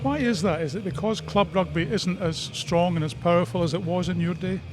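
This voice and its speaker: A man's voice: sits high, a little fast, over music. A man hosting a live sports radio interview outdoors, asking probing questions in a conversational way.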